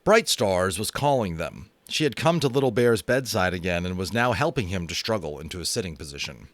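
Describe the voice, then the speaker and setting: An American man narrating a children's novel aloud in a dramatic, animated way, with chatty, self-amused asides. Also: sits low, a little fast, no room sound. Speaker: audiobook reader and host. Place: home room.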